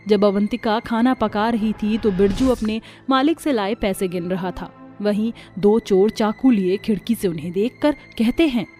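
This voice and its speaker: A woman's voice: medium pitch, normal speed, few pauses, darkish tone, soft background music. A woman narrating a scripted story as the storyteller.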